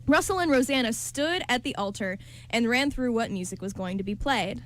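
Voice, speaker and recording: A woman with a slightly high voice reads scripted narration. She serves as the narrator of a radio drama. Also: lively intonation, moderate pace, fairly bright tone.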